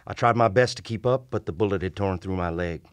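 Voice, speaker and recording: A man performs a haunted Civil War lieutenant in an old-time radio horror drama. His low voice tells the tale gravely and deliberately, in formal journal language, with music clearly behind him. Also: dry recording, clean studio sound, close to an everyday voice. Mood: grim, intense. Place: small radio studio. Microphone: vintage-style studio microphone at close range.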